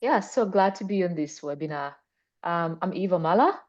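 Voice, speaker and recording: A woman's voice: fairly low pitch, animated, normal speed, a little mellow, dull audio. A woman from Uganda introducing herself earnestly on a webinar panel. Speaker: panelist, funder. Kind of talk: self-introduction.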